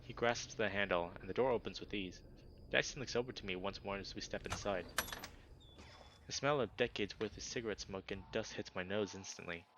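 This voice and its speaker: A man's voice: fairly low pitch, a little fast, fairly bright tone, muffled recording, slight background noise. A man reading as a reserved, dryly wry furry protagonist narrating a visual novel in first person. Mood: measured, wry.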